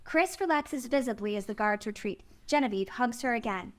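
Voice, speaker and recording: A woman's voice: on the high side, animated, moderate pace. A woman narrator reading out the stage directions and scene headings of a screenplay.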